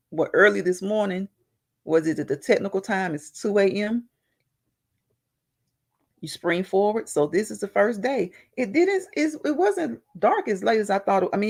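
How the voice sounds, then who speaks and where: Gravelly-voiced woman hosting a live chat. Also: medium pitch. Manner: laughs often, greets viewers by name. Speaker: livestream host reading chat.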